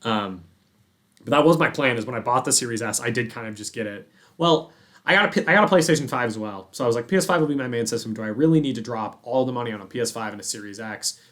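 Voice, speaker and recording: A man's voice: mid-range voice, quick pace, a bit bright. A man hosting a gaming podcast, talking informally and enthusiastically in casual conversation. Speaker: podcast host.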